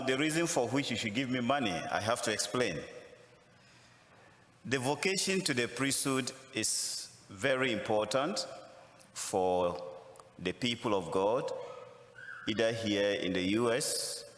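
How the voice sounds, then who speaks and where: Slow Ghanaian priest in echoey church. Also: male voice, on the low side, fairly bright tone. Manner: slow, deliberate, with humor. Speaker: visiting priest. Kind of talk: missionary appeal homily. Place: large church.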